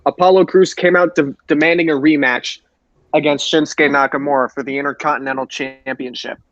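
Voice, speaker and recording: A man's voice: fairly high pitch, steady tone, brisk, a bit bright, no room sound. A man co-hosting a podcast, giving an informal recap on a lo-fi recording. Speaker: podcast co-host. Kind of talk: informal recap of wrestling show.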